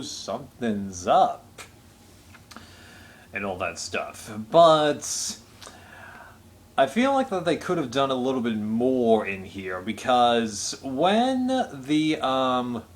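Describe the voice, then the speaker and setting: A man giving a casual, unscripted review as a reviewer, talking in a rambling, measured way. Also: medium pitch, a little hiss or hum.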